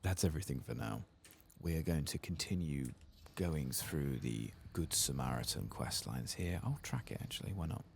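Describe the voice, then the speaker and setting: A man giving hushed, laid-back ASMR game commentary, speaking softly and casually close to the mic. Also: a bass voice, expressive, normal speed, hardly any pauses. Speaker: ASMR gaming host. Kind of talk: soft-spoken and whispered ASMR game commentary. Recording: clear background noise and game ambience.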